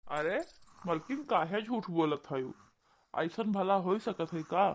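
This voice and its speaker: A man's voice: high voice, lively intonation, slow. A man voicing an incredulous village husband in a cartoon, questioning his wife with an Awadhi accent. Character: doubting husband on a phone call, defending his family. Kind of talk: cartoon dialogue.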